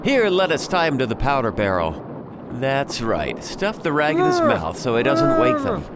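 An actor playing a bereaved husband turned vengeful pirate in a radio drama, speaking in deliberate, menacing speeches. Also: male voice, medium pitch, wildly swooping pitch, background noise. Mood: cold, commanding.

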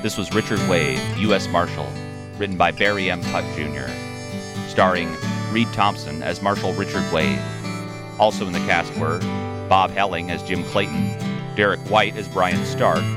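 A male radio announcer reading closing credits. His voice is very low and steady, calm and formal. Loud plucked guitar music plays right under him. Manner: measured, formal delivery.

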